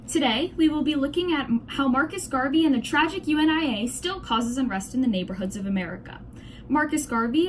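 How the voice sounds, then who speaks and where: A woman's voice: high-pitched, a little hiss or hum. A young woman reading a scripted news commentary aloud with scornful opinions. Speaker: presenter of a student-made 1920s-style news report.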